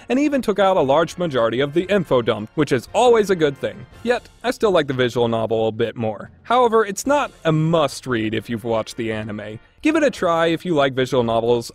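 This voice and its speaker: A man delivering a scripted review commentary as a podcast host. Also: medium pitch.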